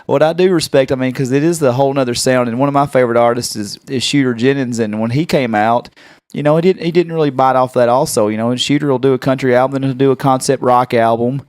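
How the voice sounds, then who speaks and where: A man's voice: mid-range voice, fairly even intonation, quick pace, a little mellow, smooth, no room sound, studio quality. A man hosting a podcast, chatting casually and eagerly as a fan. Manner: chatty, enthusiastic fan talk. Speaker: podcast host. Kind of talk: casual interview chat.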